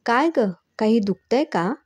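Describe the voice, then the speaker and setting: A woman's voice: on the high side, animated, measured pace, darkish tone, no room sound. A woman storyteller voicing a shrewd middle-aged mother who questions in an insistent, worried, motherly way.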